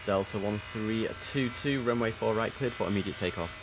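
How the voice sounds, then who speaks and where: Man, low pitch, casual live commentary, air traffic controller phrasing, clear background noise. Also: fairly quick. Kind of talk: casual live game commentary mixed with radio instructions.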